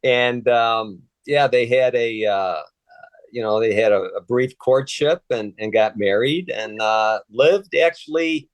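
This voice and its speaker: A man in his sixties from Chicago, a native Polish speaker, talking in a relaxed, hesitant way with many fillers and restarts as an interview guest. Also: mid-range voice, slow pace, dry recording. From Chicago, USA. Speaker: interview guest, retired Secret Service agent. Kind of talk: casual conversational interview.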